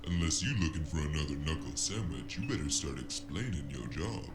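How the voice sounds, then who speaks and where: An extremely deep-voiced gang henchman acted by a man, blunt and tough with a folksy turn. He is a character in a noir audio drama, with loud music behind him. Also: animated, brisk. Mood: gruff threat.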